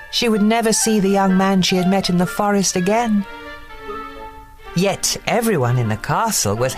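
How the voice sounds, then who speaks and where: A woman reads a bedtime story for children in a low voice. Her delivery is expressive and very animated, rising and falling freely. Music plays under her narration. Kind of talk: scripted children's bedtime story read-aloud.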